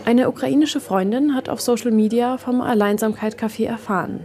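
A woman's voice: fairly high pitch, steady tone, moderate pace, warm tone. A woman reporter reads scripted narration for a radio reportage.

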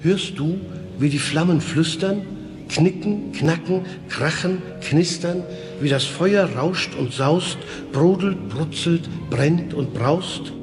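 A man's voice: fairly high pitch, takes it slowly, over music. A man, a poet, reciting his own playful rhyming verse and talking about writing it, on an old archive recording. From Germany. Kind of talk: archive interview and poetry reading.

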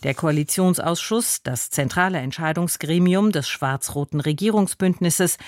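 Low-pitched woman reading radio news. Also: a little mellow, no echo, clean studio sound. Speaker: radio newsreader. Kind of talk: scripted news bulletin reading. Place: dry broadcast studio.

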